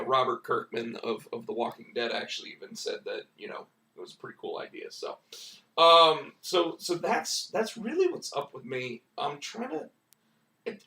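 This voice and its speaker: Man, hoarse, rambling casual host, mid pitch, roomy and off-mic. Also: pushed and bright, far from the mic. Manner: rambling, many restarts and "like" fillers. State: losing his voice, hoarse. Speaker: comic book writer and stream host. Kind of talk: casual live-stream chat.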